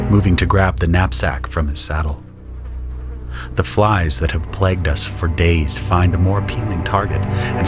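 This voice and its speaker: A man acting as a grim conscript soldier telling his story in an audio drama. The voice is low and measured, solemn and watchful, with music running underneath. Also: lightly acted. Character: grim, observant male conscript soldier narrating trench horrors. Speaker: narrator and soldier character. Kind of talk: first-person scripted audio drama narration.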